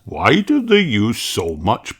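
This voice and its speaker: A reader as an easygoing, slow daddy pig. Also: mid-range voice, wildly swooping pitch, husky, full character voice. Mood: offhand. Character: easygoing, casual grown-up father pig. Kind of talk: storybook character voice.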